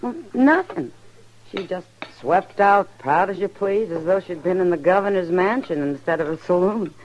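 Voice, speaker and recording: A woman's voice: fairly low pitch, expressive, moderate pace, lo-fi sound, slight background noise. An American woman playing a frank, self-possessed saloon keeper in an old-time radio western, direct and unflustered. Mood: confiding, earnest. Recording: vintage radio recording.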